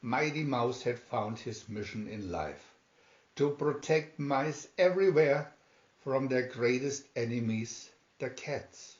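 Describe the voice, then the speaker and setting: A man reading a children's story aloud in a deliberate, dramatic storytelling manner. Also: mid-range voice, expressive. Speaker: storybook reader. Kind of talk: scripted children's story narration, read aloud. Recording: roomy, slightly dull home recording.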